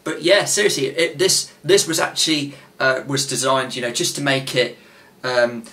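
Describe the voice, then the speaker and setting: A man's voice: medium pitch, fairly even intonation, normal speed, bright, forceful tone. A twenty-one-year-old man hosting a quiz from his bedroom, rambling with many fillers and restarts, his voice wearing out. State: voice getting tired. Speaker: channel host running a quiz. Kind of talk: casual quiz hosting to camera. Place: small bedroom with gaming desk, indoors.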